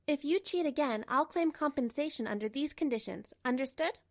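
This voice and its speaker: A woman playing a young wife, high voice, stern, insistent, manga drama dub. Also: lively intonation, fairly quick, no echo, clean studio sound.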